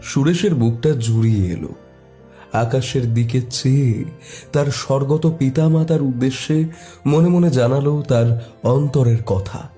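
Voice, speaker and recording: A man's voice: medium pitch, a little slow, dark tone, faint music behind. A man narrating a scripted horror story in a dramatic storytelling style.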